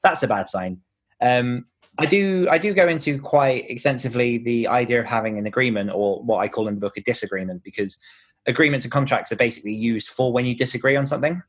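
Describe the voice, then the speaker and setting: A man's voice: mid-range voice, fast. Chatty man, casual interview guest. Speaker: podcast guest, author.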